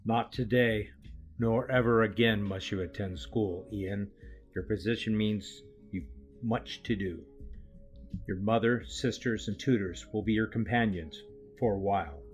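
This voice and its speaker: Man acting solemn older elder, measured and formal. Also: lowish voice, soft background music, natural voice. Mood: grave, reassuring. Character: grave, kindly elder leader and aging teacher. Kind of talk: audio drama acting.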